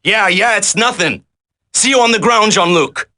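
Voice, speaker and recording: An actor playing a wry, weary soldier in a dramatic video game, with a colloquial way of talking. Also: male voice, high voice, moderate pace, bright, forceful tone, no echo, clean studio sound. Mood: strained.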